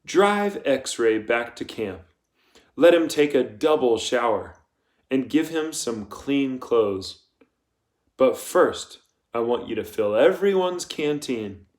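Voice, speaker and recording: Man voicing a slow, coldly polite female boss. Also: mid-range voice, expressive. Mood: brisk authority. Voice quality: soft. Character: soft-spoken menacing female camp warden, coldly polite, commanding. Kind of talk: audiobook character voice.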